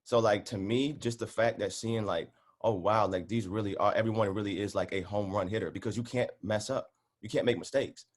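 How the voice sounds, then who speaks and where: Man, lowish voice, casual and rambling, emphatic, lots of "like", on a video call. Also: fairly even intonation, fairly quick. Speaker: cast member on a reunion panel. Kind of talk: casual chat.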